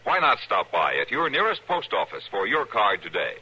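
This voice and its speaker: A man's voice: on the low side, wildly swooping pitch, moderate pace, pushed and bright, slightly rough, rough recording. A man with an American accent reading a formal, emphatic public-service announcement in 1950s radio style.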